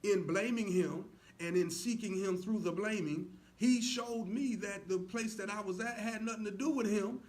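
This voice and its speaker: A man's voice: high voice, normal speed, few pauses, a little mellow, poor audio. A man speaking as a show guest, giving casual, preacher-like testimony, earnest and full of rhetorical questions. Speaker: stage actor, show guest.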